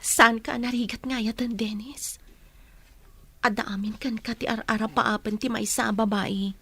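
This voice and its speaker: Husky, lovestruck Ilocano single mother. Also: a little high, fairly even intonation, moderate pace, bright, forceful tone, full character voice. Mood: hesitant, tender. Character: grateful, lovestruck single mother, soft and sentimental. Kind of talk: Ilocano radio drama acting.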